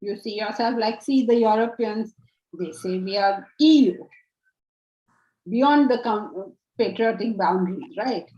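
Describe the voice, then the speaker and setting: Older woman teacher, mellow voice, muffled echoey call audio, patient explainer. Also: mid-range voice, a little slow. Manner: patient, explanatory, animated hand gestures. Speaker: Vedanta teacher. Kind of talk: online class Q&A. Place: home study with bookshelves. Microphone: video call laptop mic. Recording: roomy, dull video call audio.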